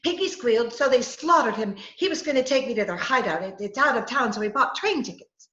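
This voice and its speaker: A woman's voice: on the high side, expressive, fairly quick, a bit bright. A woman playing a scatterbrained, eager newspaper reporter in an old-time radio comedy-mystery, stammering and chattering in a flustered way. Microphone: video call. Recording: dull, roomy video call recording.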